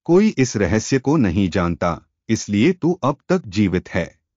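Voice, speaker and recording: A man's voice: fairly low pitch, very animated, a little slow, darkish tone, no echo, dull audio. A man voicing a quarrelsome, menacing serpent who argues scornfully in a folk tale. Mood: angry, scornful. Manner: accusing, scornful.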